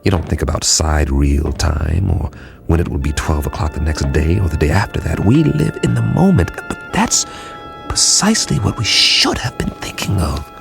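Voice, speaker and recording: A man playing an irritable, weary space traveller in an audio drama, gravelly and sardonic, with dramatic emphasis. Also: rumbling low, animated, moderate pace, music in the background, full character voice. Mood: brooding. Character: weary, irritable male space traveller confined aboard ship. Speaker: lead actor in an audio drama. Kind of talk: radio drama dialogue and first-person narration.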